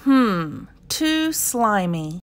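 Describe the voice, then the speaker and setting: A woman's voice: medium pitch, very animated, drawn-out words. A woman voicing a curious, cheerful young male platypus in a children's picture book, musing and exclaiming expressively.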